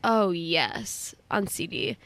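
A woman's voice: fairly low pitch, very animated, slow, fairly bright tone, no room sound. A young woman, a singer, chatting casually in an interview, with lots of fillers, restarts and laughter.

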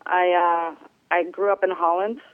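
Relaxed woman musician, dry close sound. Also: lowish voice, measured pace, dry recording, phone-quality sound. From Germany. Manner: relaxed, hesitant, many fillers. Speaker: bass player, interview guest. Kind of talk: casual phone interview.

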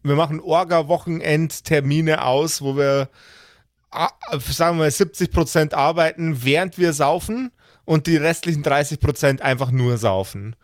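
A man's voice: on the high side, normal speed, no room sound, clean studio sound. A man chatting casually as a podcast host and game master, bluntly and with emphatic repetition and swearing. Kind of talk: casual chat with friends.

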